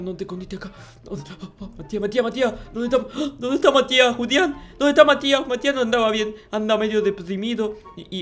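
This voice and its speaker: A performer voicing a childish, excitable, clueless noob in an improvised game roleplay, stammering and repeating words. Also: medium pitch, natural voice. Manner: repetitive, stammering, exclaiming. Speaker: gaming channel host.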